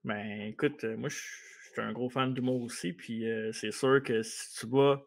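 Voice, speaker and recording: Québécois podcast host, male, encouraging and casual; gravelly, stammery. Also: mid-range voice, steady tone, a little slow. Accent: Québécois French. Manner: easygoing, encouraging, stammering restarts.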